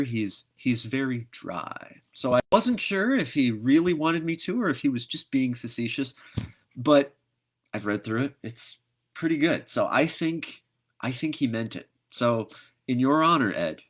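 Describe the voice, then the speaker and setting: A middle-aged man with a mid-pitched voice hosts a casual livestream. He talks to his viewers conversationally, pausing to think and picking his way through each point. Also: fairly bright tone. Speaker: host of a read-aloud livestream.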